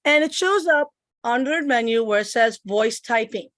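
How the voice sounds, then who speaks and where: A woman's voice: on the high side, animated, moderate pace, a bit bright, dry recording, clean studio sound. A middle-aged woman from Puerto Rico giving a step-by-step tech demo at her computer, explaining each click as she goes. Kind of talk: instructional screen demo. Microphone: computer microphone.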